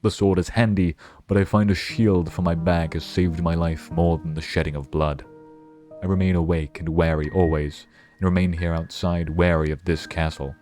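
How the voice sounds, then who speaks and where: A young man reading a chat message aloud as a solemn, battle-scarred wandering knight, in slow, grandiose mock-medieval phrasing. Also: deep voice, fairly even intonation, darkish tone, faint music behind, natural voice. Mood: solemn, weary.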